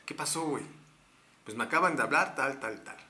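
Bright-voiced Mexican man rambling through a story. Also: medium pitch, lively intonation, brisk, roomy sound. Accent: Mexican Spanish. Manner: rambling, many fillers and restarts. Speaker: guest telling a story. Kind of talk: casual storytelling, slangy.

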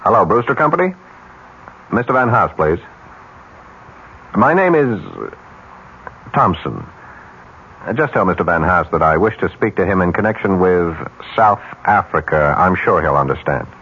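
An actor playing a scheming, smooth-talking, self-assured advertising executive in a 1970s radio drama. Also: male voice, deep voice, expressive, moderate pace, long pauses, a bit bright. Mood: businesslike, scheming. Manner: slick, self-assured, persuasive. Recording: muffled 1970s radio broadcast, faint hiss.

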